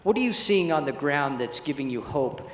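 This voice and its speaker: A man moderating a panel discussion on stage, asking gracious, measured questions with some fillers. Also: fairly high pitch, lively intonation, fairly bright tone. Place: large event hall on stage. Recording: echoey hall, faint room noise.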